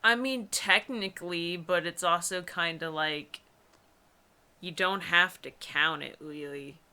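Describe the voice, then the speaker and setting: Young female co-host, lowish and bright, chatting casually and reading aloud with sarcastic, opinionated flair. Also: slow pace, clean tone. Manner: animated, opinionated, sarcastic asides. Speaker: co-host of a comedic book-reading show. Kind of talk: casual chat mixed with mocking dramatic reading.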